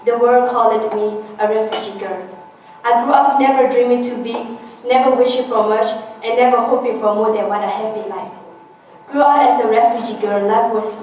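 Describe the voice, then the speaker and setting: A teenage girl born in Thailand reads her personal essay aloud with careful, halting phrasing and small restarts, recorded from a distant mic in an echoey room. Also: fairly high pitch, dull audio, slight background noise. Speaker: essay contest winner.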